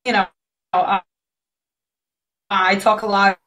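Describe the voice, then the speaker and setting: A woman from Lithuania with a Lithuanian accent, a sex educator guest talking in a lively, expressive way and laughing often. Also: mid-range voice, takes it slowly. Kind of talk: casual interview chat.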